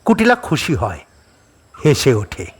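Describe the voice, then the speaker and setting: A man's voice: mid-range voice, huge pitch swings, measured pace. A man narrating a scripted suspense story as a dramatic audio storyteller. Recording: clearly noisy background.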